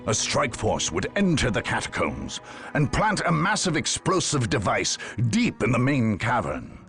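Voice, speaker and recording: A solemn male chronicler of a far-future war, narrates a game with theatrical weight. His voice has a gravelly creak and moves dramatically up and down in measured phrases. Music plays clearly behind him. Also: mid-range voice, huge pitch swings, fairly bright tone, heavily acted. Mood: solemn, foreboding.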